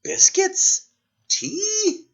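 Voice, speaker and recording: A man's voice: on the high side, huge pitch swings, moderate pace, fairly bright tone, slightly rough, voice pushed up, full character voice. A man voicing a big, strong, cautious and polite knight in a story, sounding curious. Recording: dull lo-fi recording.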